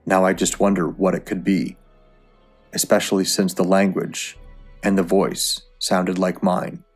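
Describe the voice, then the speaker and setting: A man narrating a first-person creepy story in a calm, measured way. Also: low voice, faint music behind.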